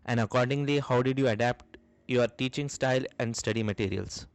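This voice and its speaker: A man reads out prepared interview questions in a formal, polite tone. His pitch sits in the middle range, and the recording is dry and close. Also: fairly quick, no room sound.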